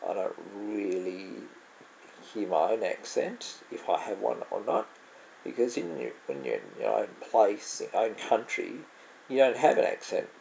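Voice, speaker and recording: A man's voice: fairly low pitch, lively intonation, normal speed, creaky, background noise. A man with an Australian accent, commenting casually on his own online poker game, musing aloud and often trailing off to correct himself. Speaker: vlogger playing online poker. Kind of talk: casual commentary over gameplay.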